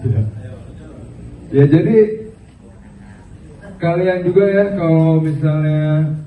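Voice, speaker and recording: Halting stand-up comic at an open mic. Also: male voice, a little high. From Indonesia. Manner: halting, filler-heavy delivery with English phrases mixed in. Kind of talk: stand-up comedy. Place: small open mic venue, indoors. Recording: roomy, distant, tinny phone-like audio.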